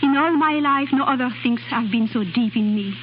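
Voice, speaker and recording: An actress in a 1930s radio drama playing a distraught young foreign nightclub singer who passes as a noble ward, impassioned and theatrical. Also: female voice, a little high, brisk, close to an everyday voice. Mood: pleading, lovelorn. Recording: muffled, crackly 1930s radio broadcast.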